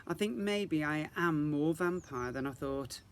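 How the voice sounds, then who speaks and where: A man's voice: on the high side, unhurried, a little hiss or hum. A man voicing an earnest, sensitive little half-vampire fairy girl in a children's storybook, plaintive and defiant. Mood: wistful.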